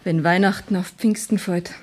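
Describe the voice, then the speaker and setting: A woman's voice: lowish voice, steady tone, moderate pace, darkish tone, lightly acted. A woman playing a brazen, mercenary girl of about seventeen with a Bavarian accent in a radio play. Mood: sceptical. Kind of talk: 1970s German radio play acting in dialect.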